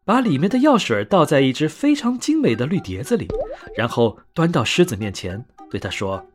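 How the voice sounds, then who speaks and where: A man narrating a scripted bedtime story for children in an expressive, clearly phrased way. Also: fairly high pitch, moderate pace. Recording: soft music under narration.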